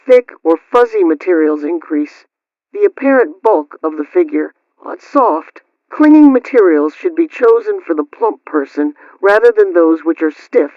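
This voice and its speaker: A woman's voice: lowish voice, darkish tone, no room sound. A woman playing a prim 1920s housekeeping host on the radio, reading scripted advice in a formal, instructive way through an old radio filter. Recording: dull, lo-fi old-radio sound.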